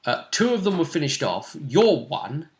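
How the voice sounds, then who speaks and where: A man working as game master, casually recapping a tabletop role-playing session with animated, emphatic storytelling in a roomy space. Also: fairly high pitch, moderate pace. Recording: roomy, echoey sound.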